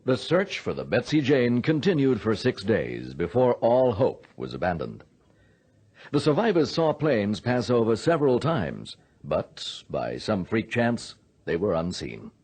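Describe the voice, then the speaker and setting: A man narrating a radio drama from a script, in a grave, measured storytelling manner. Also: lowish voice, huge pitch swings, a little mellow, slightly rough.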